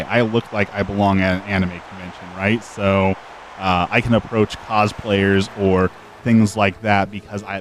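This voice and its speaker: A middle-aged man with a fairly low voice talks into his desk microphone during a live game stream. He is outgoing and earnest, rambling a little with fillers and restarts. Soft game music plays faintly behind him. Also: low-quality recording. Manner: outgoing and extroverted. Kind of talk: casual live-stream chat answering viewers while gaming.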